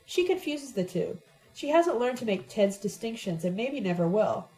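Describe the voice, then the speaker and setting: A woman's voice: a little high, big pitch swings, moderate pace, dark tone, rough recording. A woman voicing an intense, theatrical young woman who declaims dramatically in an audiobook. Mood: intense lecturing.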